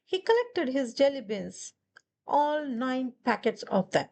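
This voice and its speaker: A woman's voice: sits high, sing-song, slow, a little mellow, a little hiss or hum. A woman reading a children's picture book aloud as a storyteller. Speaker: storybook reader. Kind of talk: children's read-aloud narration.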